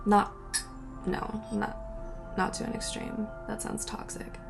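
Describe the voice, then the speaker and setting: A woman's voice: medium pitch, level tone, slow pace, gravelly, over music. A young woman answering viewer questions in a rambling, casual chat, breaking off mid-sentence and laughing between thoughts.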